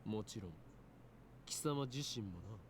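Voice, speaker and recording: An actor voicing an arrogant, grandiose young self-styled mad scientist in a Japanese visual novel, theatrical and taunting. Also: male voice, on the low side, lively intonation, fairly quick, close to an everyday voice. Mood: mocking.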